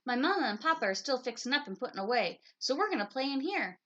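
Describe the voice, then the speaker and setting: A woman's voice: sits high, big pitch swings, fast talker, a bit bright, dull audio, slight background noise. A woman voicing a braggy, bossy six-year-old girl bear cub for a storybook. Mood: bossy, inviting. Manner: bossy, boastful, playful.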